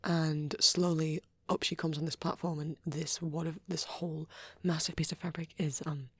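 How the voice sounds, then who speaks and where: A woman voicing a shy vampire boy who rambles gently in an ASMR roleplay, soft-spoken and hesitant, slipping into breathy whispers. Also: low voice, flat delivery, moderate pace, no echo, heavily acted. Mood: shy, musing.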